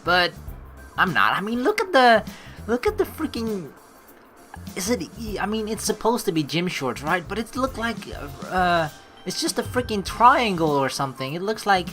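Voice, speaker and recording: A woman's voice: mid-range voice, big pitch swings, normal speed, fairly bright tone. A woman hosting a game playthrough, reading the text aloud and adding jokey, rambling casual commentary. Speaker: let's play host. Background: game music.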